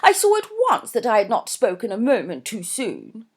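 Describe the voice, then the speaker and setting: A woman's voice: wildly swooping pitch, moderate pace, breathy edge, exaggerated voice. Woman doing a fussy, gushing plump mother. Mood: emphatic. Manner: insistent, fussy, high-pitched exclamations. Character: gushing, emphatic middle-aged mother, plump.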